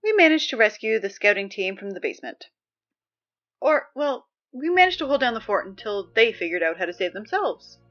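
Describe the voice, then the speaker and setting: A woman acting as an exasperated, wry high school student reading morning announcements in an apocalypse audio drama, halting and conversational. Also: very animated, a bit bright, highs cut off. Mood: wry, chatty. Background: music.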